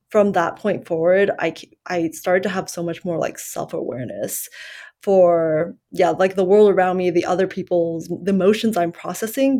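Podcast host woman from China, fairly low voice, reflective and rambling, lots of fillers. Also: a little mellow. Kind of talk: casual podcast conversation.

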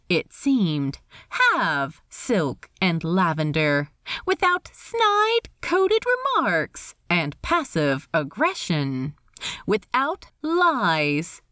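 A woman reading a story aloud in expressive scripted narration. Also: lowish voice, no room sound, clean studio sound. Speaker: reader of fan fiction.

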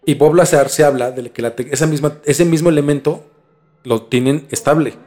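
A man's voice: fairly high pitch, normal speed, darkish tone. A man with a Mexican accent explaining things in casual conversation as a podcast co-host, informally and repeating words for emphasis.

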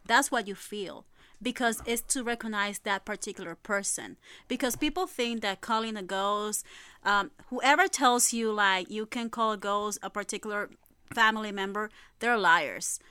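Woman from puerto rico, matter-of-fact psychic on a podcast, smooth clear voice. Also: mid-range voice, normal speed, sharp tone, no echo. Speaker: guest psychic medium. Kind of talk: casual podcast chat.

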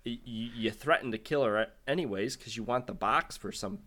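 A man's voice: medium pitch, a little fast. A man in casual chat, reviewing a movie in a profane, exasperated way. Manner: profane, exasperated, animated ranting.

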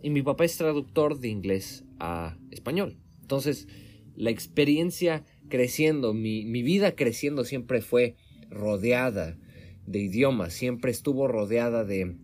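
A man's voice: medium pitch, animated, a little slow, a little mellow, a little hiss or hum. A man from Mexico talking casually as the interviewer, correcting himself often as he speaks. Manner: conversational, with restarts and self-corrections. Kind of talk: casual conversation. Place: home room in Sweden.

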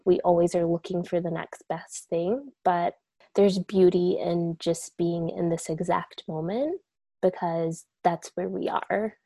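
A woman's voice: fairly low pitch, creaky, no echo. A young woman speaking casually and reflectively as an interview guest, laid-back with many fillers. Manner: soft-spoken, laid-back, many likes and you-knows.

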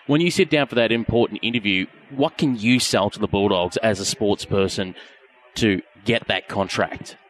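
A man's voice: lowish voice, a bit bright. Brief: male sports interviewer, cheerful, fills with uhs. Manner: upbeat, leading questions with fillers.

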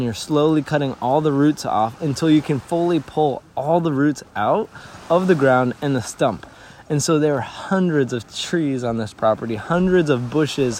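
A man raised in Tanzania speaks as a missionary, earnest and story-driven, often restarting his sentences. His voice sits a little high for a man. Faint outdoor ambience hums behind him. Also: darkish tone, slight background noise. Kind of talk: conversational interview.

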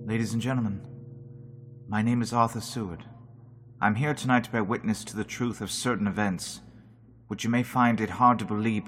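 A man playing a grave male doctor in a 1930s-style radio drama, measured and emphatic as he lays out his evidence. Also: mid-range voice, a bit bright, natural voice.

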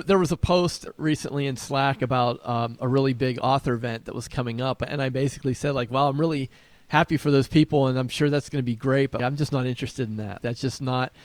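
A man's voice: mid-range voice, fast talker, dry recording. A man co-hosting a podcast in casual conversation, informal and thinking aloud as he rephrases his points. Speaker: podcast co-host.

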